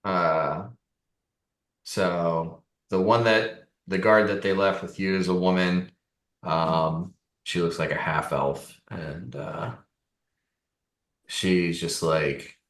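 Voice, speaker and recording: Male game master, low voice, halting delivery, improvised narration, recorded distant in a roomy space. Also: fairly bright tone. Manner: halting, pausing between phrases. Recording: roomy echo, far from mic.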